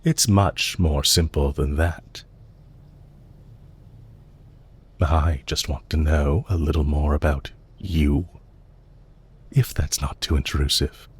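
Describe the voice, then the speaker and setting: A man playing a calm, courteous villain leader in an audio roleplay, measured, formal and politely condescending, with quiet menace and amusement. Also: extremely low pitch, breathy edge, heavily acted. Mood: calm, quietly menacing. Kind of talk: audio roleplay, intimate scripted acting addressed to listener.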